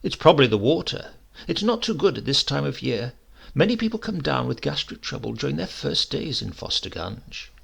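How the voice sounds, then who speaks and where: A man plays a suspicious, dryly polite nephew in a storybook tale. The voice is husky and gravelly with a bright edge, and the words come out pointed and courteous. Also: mid-range voice, sing-song, moderate pace, exaggerated voice. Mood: solicitous. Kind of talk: acted dialogue in a storybook reading.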